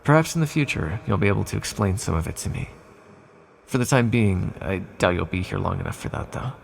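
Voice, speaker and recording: Man voicing a gravelly, low ancient villain. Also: animated, fairly quick, full character voice. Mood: curious. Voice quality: deep, gravelly, husky. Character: ancient imprisoned villain entity, condescending yet lonely and flirtatious. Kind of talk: ASMR roleplay monologue to the listener.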